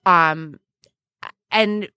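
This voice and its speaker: A woman's voice: fairly low pitch, extremely slow, bright, forceful tone, clean tone, dry recording, clean studio sound. A woman hosting a podcast in a casual, chatty, self-deprecating and giggly way. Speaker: podcast host.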